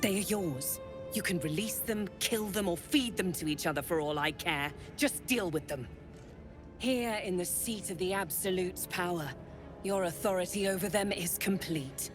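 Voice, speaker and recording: A woman's voice: lowish voice, moderate pace, over music, natural voice. An actress playing a stern, authoritative female cult commander in a fantasy game, formal and clipped. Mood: imperious. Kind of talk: dramatic fantasy game acting.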